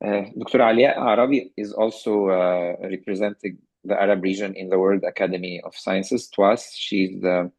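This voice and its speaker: A man moderating an online webinar, thanking the speaker and asking questions in a halting way, repeating words. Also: low voice, steady tone, a little mellow, breathy edge, no echo, soft background music, rough recording. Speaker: webinar host. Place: video call.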